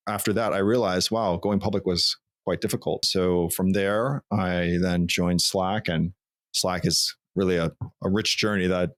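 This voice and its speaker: Deep-voiced man with a New York accent. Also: steady tone, no echo, studio quality. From Long Island, New York, US. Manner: thoughtful, measured, occasional restarts. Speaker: guest, former CFO. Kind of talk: conversational interview. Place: office.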